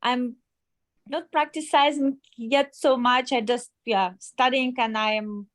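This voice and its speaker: A fairly high-voiced woman from Belgium speaks slowly, with many pauses and fillers. Her voice sounds dry and close, as on a video call. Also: steady tone, no room sound. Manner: hesitant, lots of pauses and fillers. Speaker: student. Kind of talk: casual self-introduction.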